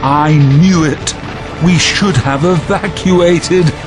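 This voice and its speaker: A man's voice: on the high side, slow. An actor playing an alarmed, disapproving man in a wartime audio drama. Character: alarmed, disapproving man objecting in a war crisis. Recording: music and effects behind.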